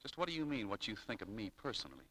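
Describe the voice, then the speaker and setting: An actor playing an earnest, shy young shop clerk who is easily exasperated, in 1940s radio style. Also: male voice, lowish voice, wildly swooping pitch, racing, a bit bright, slight background noise, rough recording. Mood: irked, stern. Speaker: radio actor in a romantic comedy. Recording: vintage 1940s radio broadcast.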